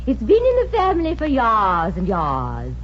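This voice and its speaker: A woman's voice: medium pitch, wildly swooping pitch, normal speed. An actress playing an affected, haughty rich lady customer, grand and pretentious, in 1950s radio comedy. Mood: snooty, refined. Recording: dull, noisy old radio recording.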